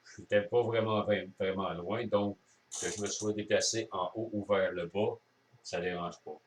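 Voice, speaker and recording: A man's voice: lowish voice, steady tone, normal speed. A man with a Quebec French accent explains things step by step in a casual hands-on demonstration, as an amateur radio operator. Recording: roomy echo, distant microphone.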